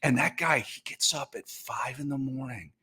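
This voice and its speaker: A man's voice: medium pitch, normal speed, pushed and bright, slightly rough. A man speaking as a podcast guest, telling stories casually with offhand asides.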